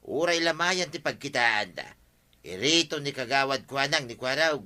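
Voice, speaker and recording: A man's voice: medium pitch, expressive, normal speed, sharp tone, a little hiss or hum. A man playing a grumbling villager fed up with squabbles in an Ilocano radio comedy drama.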